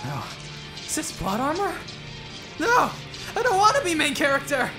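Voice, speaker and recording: A man acts out a reluctant teenage anime hero. He is whiny and melodramatic, with a wildly swinging pitch. Loud music plays behind him in this parody dub. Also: wildly swooping pitch, moderate pace, heavily acted. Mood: panicked. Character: panicky teenage schoolboy, reluctant anime protagonist.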